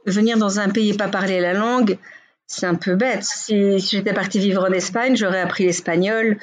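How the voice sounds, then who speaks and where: A woman's voice: medium pitch, fairly even intonation, normal speed, slight background noise. A woman in her forties from Belgium, a podcast guest telling her story in a frank, chatty way with many fillers. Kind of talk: casual interview talk.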